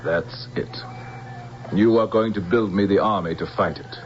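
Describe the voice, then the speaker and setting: An actor playing a menacing, imperious general in an old-time radio drama, giving clipped commands and cold threats. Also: male voice, on the low side, moderate pace, gravelly, soft background music. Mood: cold menace. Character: menacing, commanding male general plotting interplanetary war. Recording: muffled vintage radio broadcast, faint hiss.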